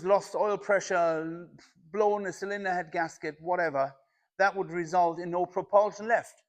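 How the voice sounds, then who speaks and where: A man presenting an informal explainer vlog to viewers, speaking as a plain-spoken, matter-of-fact boat captain who goes through things methodically. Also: high-pitched. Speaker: boat owner and video channel host, captain.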